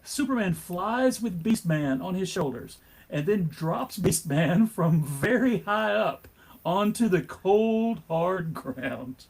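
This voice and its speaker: A man's voice: high-pitched, animated, takes it slowly, creaky, husky. A man giving dramatic scripted narration for a live comic book table read.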